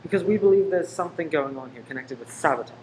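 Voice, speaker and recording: A man's voice: fairly high pitch, animated, fast, a little mellow, echoey room, close to an everyday voice, poor audio. Male actor as earnest undercover detective, pleading and torn, radio drama, faint background noise. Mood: earnest, conflicted. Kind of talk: amateur radio play acting.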